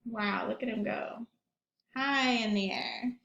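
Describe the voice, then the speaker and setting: A woman teacher reading aloud and talking to young children in an expressive storytelling manner, with questions and asides. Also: fairly high pitch, slow pace, creaky, echoey room, highs cut off. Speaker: primary school teacher reading aloud to pupils.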